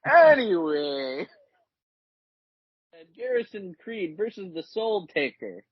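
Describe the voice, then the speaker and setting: Lively husky male podcast co-host, dry dull audio. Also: high voice, slow pace, no echo. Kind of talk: casual chat, recapping wrestling results.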